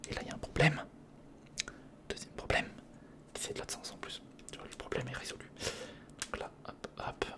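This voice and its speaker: A man speaking in a breathy whisper, giving informal ASMR commentary while building something, muttering half to himself and half to the viewer. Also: moderate pace, pauses often. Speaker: ASMR video creator.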